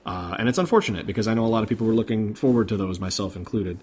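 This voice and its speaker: A man's voice: on the low side, rapid-fire, darkish tone. A man hosting a podcast, recounting news in an informal, conversational way. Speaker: podcast host. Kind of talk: casual podcast chat about game industry news.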